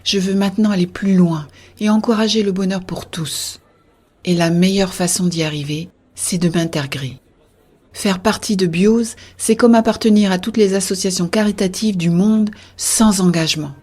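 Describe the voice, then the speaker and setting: A woman playing an earnest, idealistic young-hearted believer in a scripted science-fiction audio drama, with a husky, soft delivery. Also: medium pitch, normal speed, close to an everyday voice. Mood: earnest, persuasive. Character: Béa, earnest idealistic woman defending a shared-consciousness network. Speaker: voice actor narrating in first person.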